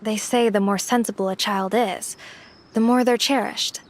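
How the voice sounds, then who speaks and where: A woman's voice: medium pitch, steady tone, normal speed, lightly acted. A woman playing a kindly, maternal older townswoman in an anime game, tender and consoling.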